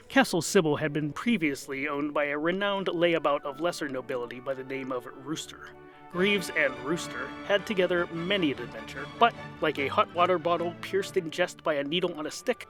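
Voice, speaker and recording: A man with a fairly high voice narrates a comic fantasy serial. His delivery is theatrical and scripted, told as a story over background music. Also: a bit bright.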